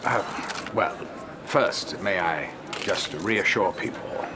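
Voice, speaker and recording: An actor playing a smooth, evasive politician who gives polished, reassuring answers in a game cutscene. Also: male voice, low voice, slow, noisy background. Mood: measured, evasive. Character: smooth evasive politician, a glib European president. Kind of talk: scripted game cutscene acting, speeches and press answers.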